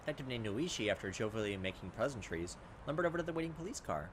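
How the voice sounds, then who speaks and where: Animated, fast-talking man reading over music. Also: medium pitch, a little hiss or hum. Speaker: let's play host. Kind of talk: reading game text aloud with casual commentary. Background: game music.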